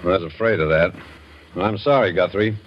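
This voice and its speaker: An actor playing an older, sociable retired FBI detective, hearty and talkative, in old-time radio style. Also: male voice, low voice, normal speed, close to an everyday voice. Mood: genial, then reluctant. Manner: hearty, talkative, back-slapping. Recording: muffled, hissy 1950s radio broadcast.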